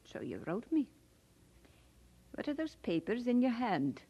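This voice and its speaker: An actress playing a brisk, outspoken middle-aged Scottish aunt in an audio drama, with a husky voice. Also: female voice, fairly high pitch, big pitch swings, dark tone, lo-fi sound, full character voice.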